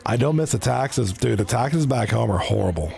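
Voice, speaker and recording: A man's voice: mid-range voice, expressive, moderate pace, vocal fry, dark tone. A man from Toronto giving casual live commentary on an esports hockey league, chatting with his viewers between plays. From Canada (Toronto).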